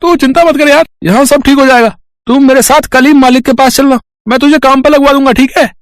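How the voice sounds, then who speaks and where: A man voicing an eager, helpful, chummy friend in an animated storybook tale. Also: extremely high pitch, brisk, dry recording, clean studio sound, pitched above the performer's own voice. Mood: friendly, eager. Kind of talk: animated storybook cartoon dialogue.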